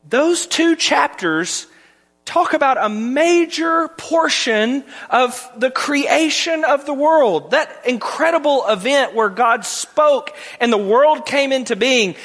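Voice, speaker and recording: A man's voice: very high-pitched, lively intonation, takes it slowly, fairly bright tone. Southern man preaching in a reverberant sanctuary. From Tennessee. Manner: folksy, conversational preaching with rising emphasis. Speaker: guest preacher, Bible department head. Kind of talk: sermon. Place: church sanctuary. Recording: long hall reverb.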